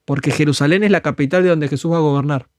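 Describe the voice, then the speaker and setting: Man, Argentine Spanish accent, higher voice, earnest fervent preaching, dry close sound. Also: fast talker, a little mellow, no echo. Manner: earnest and fervent, acts out prayers as quotes. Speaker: guest speaker. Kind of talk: conversational preaching on a podcast.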